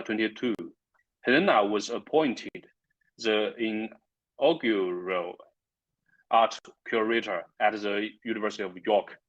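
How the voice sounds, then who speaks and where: Low-voiced male host, dull audio. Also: a bit bright. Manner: careful, halting, stumbles on words. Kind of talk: scripted interview introduction.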